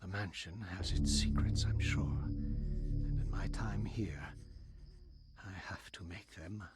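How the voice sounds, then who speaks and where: An actor playing a brooding middle-aged gentleman who has inherited a mansion, speaking in a measured, breathy, portentous video game monologue. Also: low-pitched, whispered. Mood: solemn, secretive. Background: loud music.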